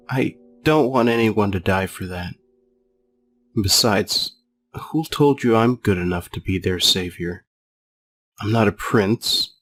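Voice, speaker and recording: Cynical young goat prince acted by a man, unhurried and world-weary, self-doubting, fan dub drama. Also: on the low side, a bit bright. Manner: weary, sarcastic. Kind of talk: fan comic dub acting.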